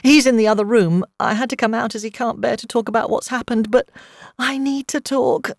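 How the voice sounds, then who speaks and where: A woman's voice: on the high side, extremely slow, gravelly, a little mellow, no room sound, clean studio sound, pitched above the performer's own voice, heavily acted. A woman voicing a distraught foster mother on the phone in an audiobook, her voice trembling and emotional. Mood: tearful, shaken. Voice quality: trembling, breaking with sobs. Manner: emotional, indignant outbursts.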